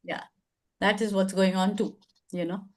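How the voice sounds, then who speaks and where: A woman's voice: medium pitch, little pitch movement, moderate pace. An older woman with an Indian English accent, hosting a casual advice discussion, thoughtful and hedging, with many fillers. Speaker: advice show host.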